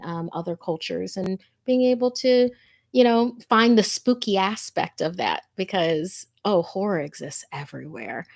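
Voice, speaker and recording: A woman's voice: medium pitch, a little mellow. A woman, an informal and chatty host talking to viewers and reading out their stories. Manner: chatty, informal, easily amused. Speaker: host of a scary story show. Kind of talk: casual livestream chat and reading listener messages and stories aloud.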